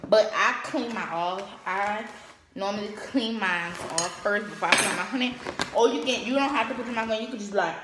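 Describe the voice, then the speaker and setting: Excitable young woman vlogging, echoey noisy room. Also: medium pitch, fairly quick. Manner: excitable, informal, slangy. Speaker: host of a home challenge vlog. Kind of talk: casual vlog chat to camera. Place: small room at home, indoors. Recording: roomy echo, noisy home laptop recording.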